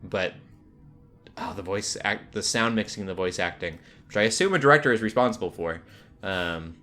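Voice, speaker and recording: A man's voice: deep voice, moderate pace, sharp tone, soft background music. A man co-hosting a podcast, chatting casually about games. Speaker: podcast co-host.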